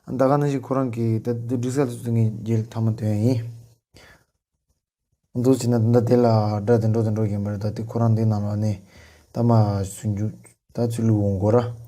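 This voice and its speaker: Male tutorial presenter, low and fairly monotone, unscripted, with halting phrasing. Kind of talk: unscripted software tutorial narration.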